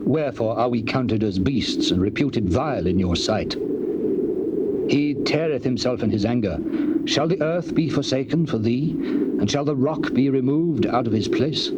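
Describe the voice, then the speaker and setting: An actor playing a stern, rebuking biblical elder's friend who warns of doom in an oratorical scripture dramatization. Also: male voice, medium pitch, very noisy, close to an everyday voice. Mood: indignant. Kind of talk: dramatized scripture reading, theatrical.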